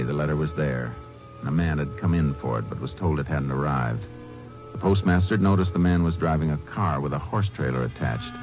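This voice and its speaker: A low-pitched, assured lawman of the old West, played by a male radio actor. He speaks plainly and with measured calm, like a shrewd Ranger in a 1950s drama. The old recording is dull, with music around it. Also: lightly acted. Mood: measured narrating. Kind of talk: 1950s radio western acting and narration.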